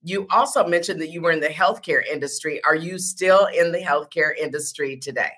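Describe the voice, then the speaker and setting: A woman's voice: low-pitched, brisk, fairly bright tone. A woman hosting a conversational interview, responding to her guest with sympathy. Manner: sympathetic, reactive. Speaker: show host, interviewer.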